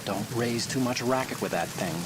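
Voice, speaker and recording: A man's voice: mid-range voice, normal speed, close to an everyday voice. An actor playing an earnest, anxious male computer hacker in a video game, speaking hesitantly. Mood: uneasy, confiding. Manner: hesitant, stammering. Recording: rain noise behind.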